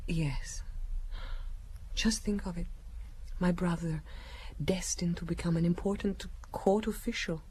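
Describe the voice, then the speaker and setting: Actress as a slow, coy young woman. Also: on the low side, a little mellow, a little hiss or hum, natural voice, rough recording. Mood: wistful. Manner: hesitant, breathy pauses, coy. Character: secretive young Danish woman, languid, coquettish, nervously timid. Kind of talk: audio drama acting.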